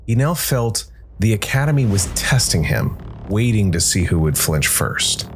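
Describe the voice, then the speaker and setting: A man reads scripted dark fantasy narration in a measured way, with dramatic pauses between short phrases. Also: fairly low pitch, big pitch swings. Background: background music.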